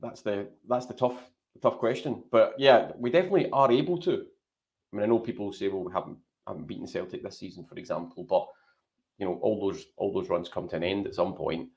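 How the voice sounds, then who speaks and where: A man with a Scottish accent talking through his opinions as a guest on a casual football podcast, measured and teasing. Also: on the low side, a little mellow. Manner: measured, thinks aloud, teasing. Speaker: podcast panelist.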